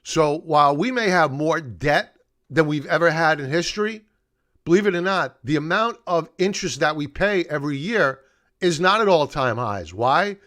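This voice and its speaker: A man's voice: on the high side, expressive, normal speed, fairly bright tone. A middle-aged man hosting a live market analysis stream, talking off the cuff and explaining in a conversational, stop-and-start way. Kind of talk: unscripted live commentary, chatting to viewers.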